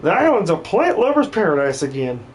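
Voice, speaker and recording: A man acts out a young farm girl from a video game, sweet and bubbly. The voice is high and very animated, with faint music behind it. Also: a little fast. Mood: cheerful, determined.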